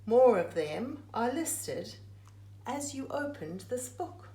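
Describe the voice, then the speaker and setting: A woman reads a rhyming picture book aloud in an echoey room. Her delivery is very slow and deliberate, broken phrase by phrase. The pitch swings widely as she leans on words for dramatic emphasis. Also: very animated, dark tone, slight background noise.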